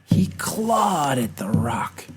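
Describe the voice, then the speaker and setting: A storyteller giving an expressive, scripted reading of a children's folktale with dramatic, deliberate phrasing. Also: medium pitch, music in the background.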